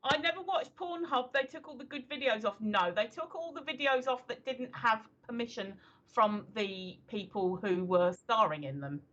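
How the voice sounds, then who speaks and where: A woman's voice: on the high side, lively intonation, normal speed, hardly any pauses, a bit bright. A woman around fifty chatting casually as co-host of a livestream talk show, hesitant and full of ums. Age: 50s. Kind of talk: casual chat. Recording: slightly dull lo-fi audio.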